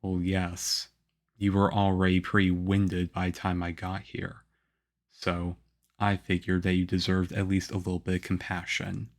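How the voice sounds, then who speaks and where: Male actor as aloof young swordsman mentor for ASMR roleplay: stern, flat, unhurried delivery, measured and harsh. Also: low voice. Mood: reserved, grudging approval. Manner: harsh, measured, deadpan, with grudging praise. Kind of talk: ASMR roleplay acting addressed to the listener.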